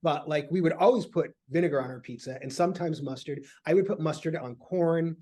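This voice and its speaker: Male guest from Michigan, fairly high voice, halting, filler-heavy casual chat. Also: dark tone. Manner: rambling, halting with many fillers.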